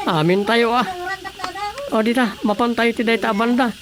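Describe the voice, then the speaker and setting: A woman's voice: on the high side, fairly even intonation, moderate pace, lightly acted. A woman playing a matter-of-fact grown child in a Filipino radio comedy, explaining things with exclamations.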